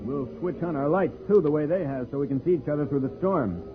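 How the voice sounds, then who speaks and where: Heroic spaceship pilot played by a man, American, calm explainer, vintage radio drama with thin, phone-like sound. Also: fairly high pitch, fast talker, over music, natural voice. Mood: steady, cautioning. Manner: reassuring, explanatory, clearly projected. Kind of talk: 1950s radio serial acting. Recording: scratchy, tinny old-time radio recording.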